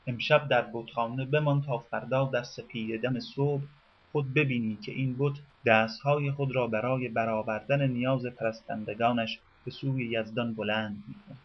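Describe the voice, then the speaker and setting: A man narrating a scripted radio program in a measured, formal way, slowing down to recite classical verse. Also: medium pitch, fairly even intonation, highs cut off.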